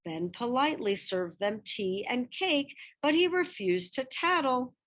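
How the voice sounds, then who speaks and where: A woman reading a picture-book biography aloud as scripted narration, with expressive delivery and deliberate emphasis. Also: fairly high pitch.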